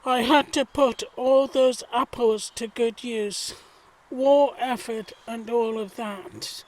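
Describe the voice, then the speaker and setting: A man's voice: very high-pitched, fairly even intonation, takes it slowly, rough recording. A man playing a mild-mannered gardener in a period audio drama. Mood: friendly, concerned. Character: mild-mannered gentlemanly gardener, proud, simple, in 1919.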